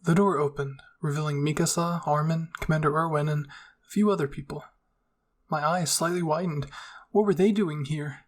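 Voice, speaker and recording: Male narrator for ASMR fan fiction, higher-pitched, husky tone. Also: steady tone, normal speed.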